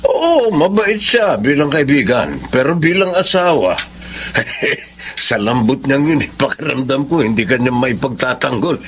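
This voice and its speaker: A middle-aged Filipino dad in a radio drama, blunt and protective of his daughter. His voice is gravelly and swings widely in pitch, over slight background noise. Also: very animated, a little slow, a bit bright. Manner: blunt, paternal. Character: protective, opinionated father of a grown daughter.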